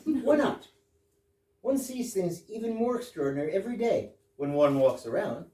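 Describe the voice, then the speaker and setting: A man's voice: high voice, sing-song, moderate pace, dark tone. A man acting a pompous husband in a staged comedy reading, explaining things with theatrical emphasis. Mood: eager. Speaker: amateur readers theater actor. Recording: echoey room, far mic, background noise.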